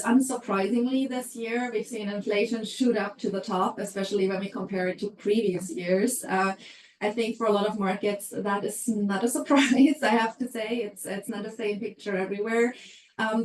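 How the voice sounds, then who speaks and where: A woman's voice: mid-range voice, steady tone, normal speed, roomy sound, far from the mic, poor audio. A middle-aged woman from Germany with a German accent, presenting an HR webinar in a conversational way, with many fillers.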